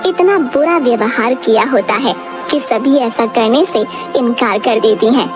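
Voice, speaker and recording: A woman's voice: a little high, measured pace, over music. A woman narrator reading a scripted moral fairy tale in storybook style.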